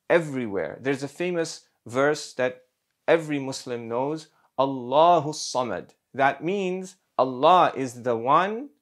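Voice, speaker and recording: Man explaining theology step by step. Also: medium pitch. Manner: measured, builds points step by step with emphatic pauses. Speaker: Islamic scholar and teacher. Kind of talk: explanatory lecture to camera.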